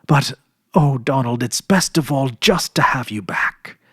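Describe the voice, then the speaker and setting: A man voicing an overjoyed young girl, pitched up. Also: a little slow, breathy edge, no room sound, clean studio sound. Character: young girl, joyful and emotional.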